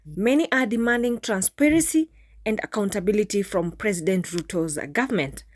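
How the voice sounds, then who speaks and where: A woman delivers formal, scripted news narration. Her voice sits fairly high, and the recording is very dry, with hardly any room sound. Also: dry recording.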